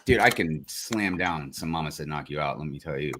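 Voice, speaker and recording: A man hosts a casual livestream with a deep, very low voice. He jokes easily and talks in a laid-back way, his pitch swinging widely up and down as he chats and thanks the viewers. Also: animated, a little fast, fairly bright tone, a little hiss or hum. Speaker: show host.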